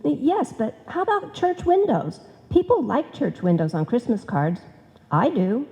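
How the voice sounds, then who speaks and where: A woman's voice: a little high, very animated, measured pace, warm tone. Woman acting a doubtful secretary, lilting, in a hall. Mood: doubtful, practical. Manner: hesitant, practical questioning. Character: sensible, doubtful female office secretary and reluctant partner. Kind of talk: old-time radio comedy acting, performed live. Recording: live hall recording, long reverb, music.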